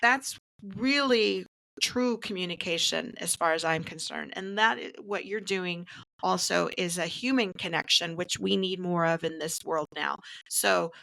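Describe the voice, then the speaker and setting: Bright, slightly creaky woman podcast host. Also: fairly low pitch, a little slow, low-quality recording. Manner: encouraging, earnest. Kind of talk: casual podcast conversation.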